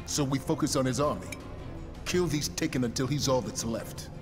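A man's voice: mid-range voice, moderate pace, natural voice. An actor playing a stern male commander, formal and commanding, in a game cutscene. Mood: grave. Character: stern male commander, serious, duty-bound. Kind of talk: video game cutscene acting. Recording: music under a long reverb.

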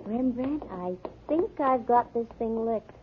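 An American woman playing a brisk, wry private detective in a 1940s radio drama. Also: fairly high pitch, expressive. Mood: determined. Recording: muffled, scratchy 1940s radio recording.

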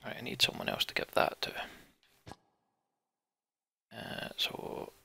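A man doing let's play game commentary, reading on-screen text aloud and thinking out loud. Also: takes it slowly, a little hiss or hum.

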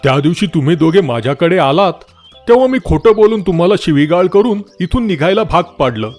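A man voicing a stern but secretly kind talking tree in a children's moral story cartoon, with emphatic, drawn-out scolding. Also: high-pitched, lively intonation, darkish tone, faint music behind. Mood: welcoming.

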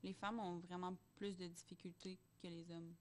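A woman's voice: fairly low pitch, level tone, moderate pace, a little mellow. A young woman with a Quebec French accent, talking in a tentative, reflective way in a casual group discussion on a studio mic. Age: young adult. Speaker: podcast panelist. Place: small podcast studio. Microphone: close studio microphone on boom arm.

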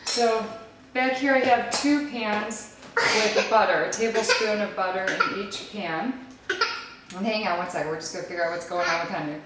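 A woman's voice: mid-range voice, normal speed, noisy background. A woman in her thirties patiently talking through a casual home cooking demonstration while coaxing small children along. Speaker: mother hosting a home cooking video. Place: large home kitchen, indoors. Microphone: camera across the counter. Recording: echoey kitchen, distant mic, clattering pans. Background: dishes, pans, clicks, small children.

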